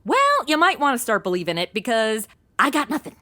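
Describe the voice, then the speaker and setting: A high, bright and wildly animated voice a flippant, ditzy young woman. She delivers sassy modern slang in a comedic audio drama and sounds unfazed by danger. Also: fairly quick, heavily acted. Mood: flippant, indignant.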